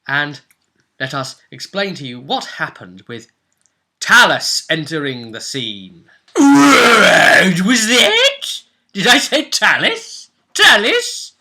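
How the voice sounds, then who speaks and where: A man's voice: a little high, very animated, slow, pushed and bright. A man hosting a casual, playful tabletop role-play recap in a jokey, hammy way. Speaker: host and game master recapping a tabletop role-play story. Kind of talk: casual, jokey story recap for a video audience.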